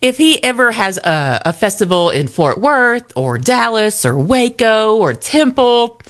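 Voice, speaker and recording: A woman co-hosting morning radio, her voice rising and falling a lot as she tells an animated story. She laughs often in casual banter. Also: on the high side, a little slow. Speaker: radio co-host.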